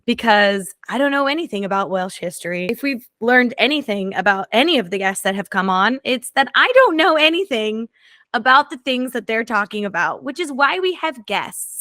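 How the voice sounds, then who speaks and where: A woman hosting a history podcast, bubbly and enthusiastic, quick to laugh. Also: on the high side, animated, sharp tone, no echo, studio quality. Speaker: podcast host. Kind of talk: casual podcast chat.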